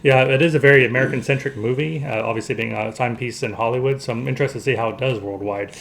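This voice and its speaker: Man, podcast co-host, quick pace, mid-range voice, casual round-up chat. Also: medium pitch, gravelly.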